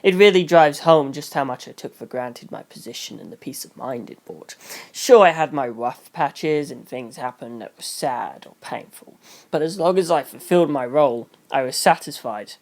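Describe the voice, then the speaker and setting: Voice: medium pitch, animated, moderate pace, natural voice. Someone reading as an earnest, pompous boy detective. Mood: wistful, jealous. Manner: intense, pompous, speechifying. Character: eleven-year-old boy detective, earnest, grandly self-certain.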